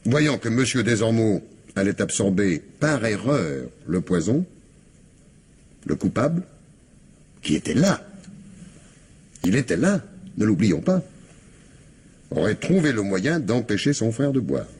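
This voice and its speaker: An actor playing a shrewd, methodical police commissioner in a French radio crime drama, with a husky voice and an insistent manner. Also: male voice, on the low side, very animated, measured pace, pauses often, darkish tone, exaggerated voice. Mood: methodical, assured. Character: shrewd, relentless male police commissioner making accusations. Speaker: actor in an audio mystery play.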